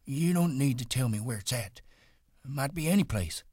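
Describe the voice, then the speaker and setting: A man's voice: medium pitch, lively intonation, moderate pace, a little mellow, breathy edge, no room sound, studio quality, pitched above the performer's own voice. A man voicing an old one-handed ranch hand who talks in an eager, pleading rustic drawl.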